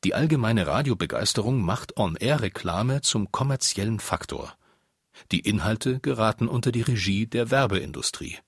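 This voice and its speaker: A man's voice: fairly low pitch, expressive, moderate pace, vocal fry, slightly rough, dry recording, studio quality. A man narrating a scripted radio documentary.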